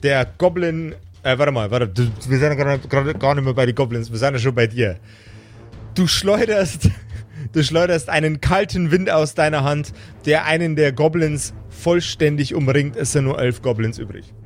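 A man with a slightly high voice runs a fantasy tabletop game. He improvises action scenes in vivid, colloquial language. Soft music plays behind him. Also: normal speed. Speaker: game master. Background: background music.

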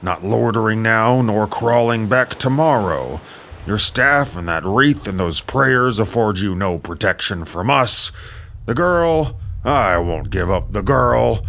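A man's voice: lowish voice, lively intonation, takes it slowly, vocal fry, a bit bright, exaggerated voice. A man playing a proud, wrathful king in an epic audio drama, declaiming in a commanding, threatening tone. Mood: wrathful, menacing. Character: proud, wrathful warrior king, harsh and menacing.

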